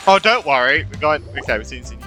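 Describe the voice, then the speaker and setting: A man's voice: on the high side, very animated, fast talker, sharp tone, faint music behind. A man giving casual, irreverent gameplay commentary as a streamer, reacting off the cuff. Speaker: gaming streamer.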